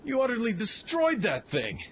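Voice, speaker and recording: Man playing a brash, animated young pilot. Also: very high voice, normal speed, heavily acted. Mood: astonished. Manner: brash, cocky, exclamatory. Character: Bart, brash excitable young male mech pilot. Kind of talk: fan radio-play acting. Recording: clearly noisy background ambience.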